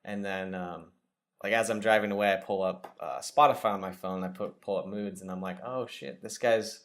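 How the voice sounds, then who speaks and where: Lower-voiced upbeat male podcast host. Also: fairly even intonation, normal speed. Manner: upbeat, chatty storyteller. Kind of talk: casual podcast interview.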